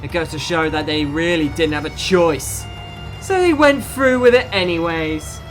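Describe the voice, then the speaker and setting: A woman's voice: low-pitched, animated, moderate pace, faint music behind. A woman playing a crude, menacing, impatient villain in an audio drama, taunting with a swagger. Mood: smug.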